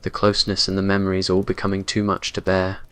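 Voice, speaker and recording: Low, even-toned man narrating romance. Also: fast talker, clean tone. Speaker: story narrator. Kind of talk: scripted dramatic narration, romantic ASMR roleplay.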